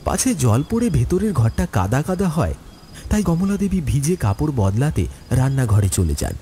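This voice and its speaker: A man narrates a horror story in a dramatic, scripted style. His mid-pitched voice moves with lively, expressive swings. Clear storm and insect effects play behind him. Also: normal speed, a little hiss or hum.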